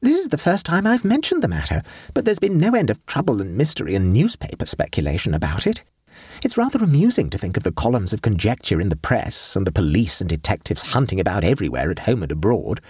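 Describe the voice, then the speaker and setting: A man's voice: medium pitch, wildly swooping pitch, quick pace, darkish tone, husky, no echo, clean studio sound, voice pushed up, heavily acted. A man reading an audiobook and voicing a mischievous, fanciful sixteen-year-old girl in an airy, conspiratorial way. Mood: teasing.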